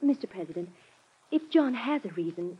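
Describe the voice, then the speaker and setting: An actress playing a gentle, loyal young fiancée in an old-time radio drama, polite and hesitant. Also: female voice, fairly high pitch, very animated, moderate pace, slightly rough, full character voice. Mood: polite, hurt. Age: young adult. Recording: dull, band-limited old radio recording.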